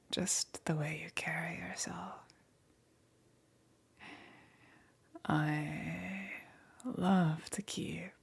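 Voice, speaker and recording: Woman as a slow, whispering demon queen. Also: lowish voice, heavily acted. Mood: seductive. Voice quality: breathy, whispery. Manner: slow, taunting, punctuated by soft chuckles. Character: smug, teasing female demon queen, menacing and seductive. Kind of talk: ASMR roleplay.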